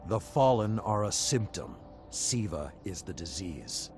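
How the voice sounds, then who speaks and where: An actor playing a stern veteran warrior lord who speaks in a slow, solemn, formal way. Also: male voice, deep voice, big pitch swings, gravelly, over music, heavily acted. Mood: grave.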